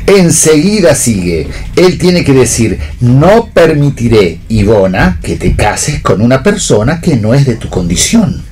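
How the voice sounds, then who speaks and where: A man's voice: medium pitch, measured pace, few pauses, a little hiss or hum. A man acting a knowing, wry theatre prompter in a radio play, with theatrical delivery. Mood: brisk, directing. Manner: theatrical, animated.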